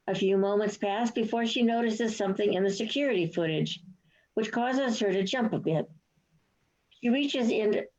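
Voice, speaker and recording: A woman's voice: mid-range voice, darkish tone. Casting: female narrator for stage directions, mid-range voice, scripted read, somewhat dull lo-fi sound. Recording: dull, lo-fi online recording.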